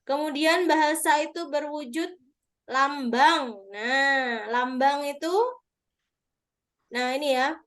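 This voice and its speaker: High-voiced woman teacher explaining on a call. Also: slow pace, pauses often, a bit bright. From Madura. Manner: explains patiently, checks answers with participants. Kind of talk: online exam review lesson.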